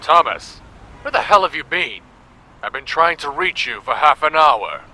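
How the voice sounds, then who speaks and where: A man's voice: fairly high pitch, expressive, moderate pace, pushed and bright, full character voice, low-quality recording. A man playing a crude, lecherous, bullying boss in an audio drama, blunt and vulgar. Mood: irritated.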